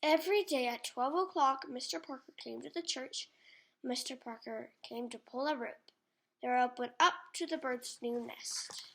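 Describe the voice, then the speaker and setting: A woman reading a storybook aloud to young children in a deliberate manner. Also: high voice, fairly bright tone, rough recording. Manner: deliberate, addresses child listeners. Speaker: storybook reader. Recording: faint background noise.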